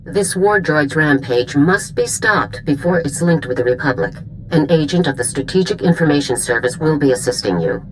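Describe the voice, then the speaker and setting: A woman's voice: deep voice, sing-song, moderate pace, slight background noise, rough recording. A woman playing a stern military general in a video game, briefing in a formal, authoritative, clipped manner. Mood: stern, commanding. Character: stern commanding female military general, mature, no-nonsense.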